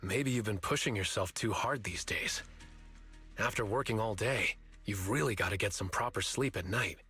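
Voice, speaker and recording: Low, bright-edged caring companion. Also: male voice, normal speed, slightly rough, soft background music, exaggerated voice. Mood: concerned, wry. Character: caring, matter-of-fact male companion. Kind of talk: scripted game voice acting.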